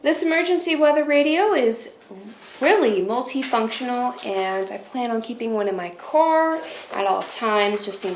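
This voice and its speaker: Higher-voiced woman demonstrating a product. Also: a little hiss or hum. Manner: explanatory, step-by-step. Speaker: product review presenter.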